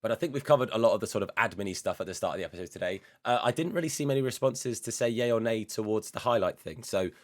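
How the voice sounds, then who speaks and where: A man hosting a gaming channel, hoarse from losing his voice, chatting casually and rambling as he plays a career mode. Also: lowish voice, fast talker, hardly any pauses. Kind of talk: casual gameplay commentary.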